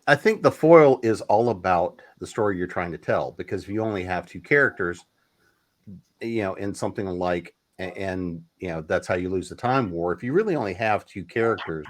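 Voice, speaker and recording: Low-voiced man on an author panel. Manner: loose, conversational, with fillers and restarts. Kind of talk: casual panel discussion.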